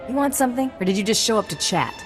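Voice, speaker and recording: A woman's voice: a little high, animated, racing, soft background music, natural voice. A woman playing a tough, cocky bounty hunter in a sci-fi game, blunt and terse. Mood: impatient. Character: tough cocky female bounty hunter, hard-nosed mercenary. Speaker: video game voice actor. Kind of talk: dramatic game cutscene dialogue.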